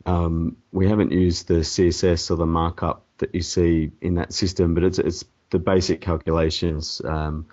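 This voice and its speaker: Hoarse low-voiced man with a cold. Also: flat delivery, moderate pace, few pauses, a little mellow. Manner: hesitant, many ums and restarts. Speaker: template developer guest. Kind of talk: casual interview answers. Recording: dull lo-fi audio.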